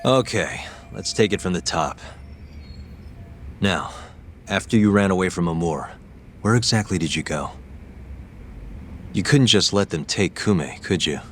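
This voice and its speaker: An actor playing a calm, persistent private detective in a video game, speaking in a measured, matter-of-fact, probing way. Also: male voice, sits low, slight background noise. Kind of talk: English video game dub acting.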